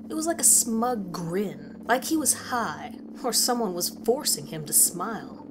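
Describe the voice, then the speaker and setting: A woman plays a concerned teacher recounting an unsettling horror story. The delivery is dramatic and swings widely in pitch, over clearly audible background ambience. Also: expressive, measured pace, background noise, natural voice. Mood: uneasy, reflective. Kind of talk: dramatic scripted narration.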